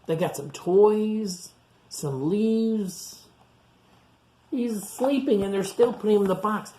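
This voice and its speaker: A woman reading a picture book aloud to children, chatting to them in animated asides and questions. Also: mid-range voice, slow. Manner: animated asides to young listeners, asking questions. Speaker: storytime reader.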